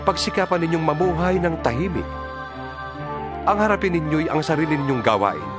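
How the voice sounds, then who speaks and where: A man's voice: on the high side, animated, brisk, over music, natural voice. A man playing an earnest, fatherly apostle exhorting his flock in a dramatized Bible reading. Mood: solemn, exhorting.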